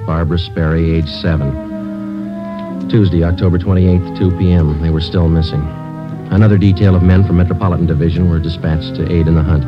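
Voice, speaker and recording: Very deep, deadpan police detective played by a man. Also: fairly quick, natural voice. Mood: grave, factual. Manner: deadpan, clipped. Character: terse, weary, matter-of-fact male police detective sergeant who narrates. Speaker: narrator and lead detective. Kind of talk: 1950s radio police drama, clipped deadpan narration. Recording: dull vintage radio recording with music.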